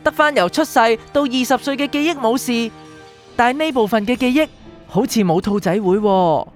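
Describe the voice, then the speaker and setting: Radio drama supporting role, female actor: formal, deferential memory doctor, highish voice, Hong Kong Cantonese accent. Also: normal speed, natural voice. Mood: apologetic, deferential. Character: polite, evasive female memory-department doctor, deferential to superiors. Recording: music bed behind the voice.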